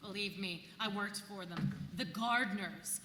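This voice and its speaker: A woman's voice: mid-range voice, measured pace, natural voice. A woman in a staged play reading, playing a bawdy, weary seventeenth-century village housewife with a mocking, emphatic way of talking. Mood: indignant, mocking. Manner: mocking, irreverent, emphatic storytelling. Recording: echoey hall, distant, noisy room tone.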